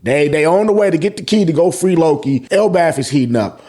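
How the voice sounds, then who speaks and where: A man's voice: a little high, lively intonation, brisk, warm tone. An American man hosting a casual, slangy manga review, swearing freely and repeating phrases for emphasis. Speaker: host of a manga review show. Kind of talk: casual, slangy chapter recap commentary.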